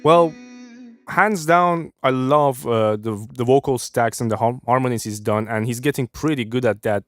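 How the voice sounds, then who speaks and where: A man's voice: mid-range voice, normal speed. A young man from Iran, hosting a live stream and giving casual, friendly feedback on music, often thinking aloud and restarting his sentences. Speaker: host. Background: faint music playing.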